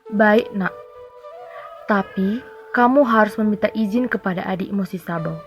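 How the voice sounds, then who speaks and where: A kind, gently firm aunt from a folktale, played by a young woman. She advises in a hushed, medium-pitched voice, with faint music behind. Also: moderate pace, natural voice.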